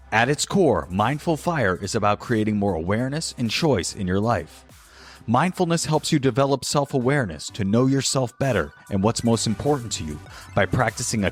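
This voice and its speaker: A man with a fairly low voice hosts a podcast. He sounds earnest and enthusiastic. Faint music plays in the background. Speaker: podcast host. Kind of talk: scripted intro then interview.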